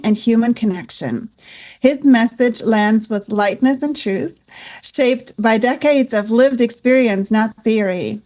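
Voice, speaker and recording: A woman with a Swiss accent hosting a wellness interview, with an enthusiastic, effusive manner. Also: fairly high pitch. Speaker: host.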